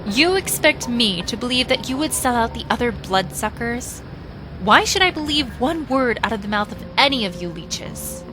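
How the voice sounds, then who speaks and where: A woman's voice: a little high, lively intonation, moderate pace, close to an everyday voice, low-quality recording. A woman playing a hard, suspicious human resistance leader in an audio drama, scornful and challenging. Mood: scornful, wary. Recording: dramatic music behind.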